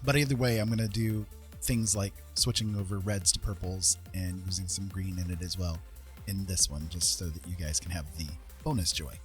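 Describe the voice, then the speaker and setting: Male livestream host, lower register, folksy and rambling casual chat, light music underneath. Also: steady tone, normal speed. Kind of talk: casual livestream chat while making art.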